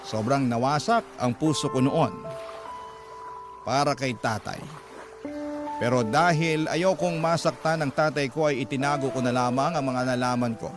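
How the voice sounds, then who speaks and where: Filipino male narrator over loud music. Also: medium pitch, normal speed. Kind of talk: scripted first-person drama narration.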